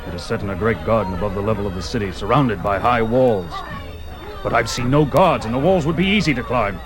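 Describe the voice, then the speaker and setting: Young barbarian hero for fantasy audio play, male actor: low, terse, stern and bold, over music. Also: animated, brisk, natural voice. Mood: curious, probing. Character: tall, strong young barbarian, blunt, bold and proud. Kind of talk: fantasy audio drama acting.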